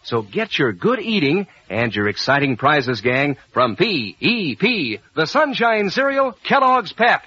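An animated American man pitches like a vintage radio announcer to kids. His voice is forceful and a little husky, folksy and eager, on dull old audio. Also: a little slow, hardly any pauses, slight background noise. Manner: punchy, folksy, enthusiastic salesman delivery. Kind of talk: 1940s radio advertising for children.

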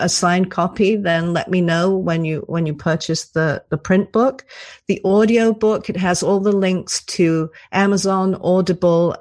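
A woman author and cantor speaking in a radio interview, soft and soothing, with hesitant restarts. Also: fairly low pitch. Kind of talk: casual interview talk.

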